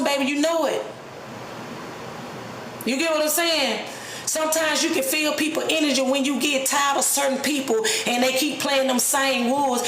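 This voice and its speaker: A woman's voice: sits high, roomy sound, background noise. A woman in her late thirties giving a blunt, profane, streetwise talk straight to the camera, preaching about people who play games. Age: 30s. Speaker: vlogger. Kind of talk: unscripted personal rant.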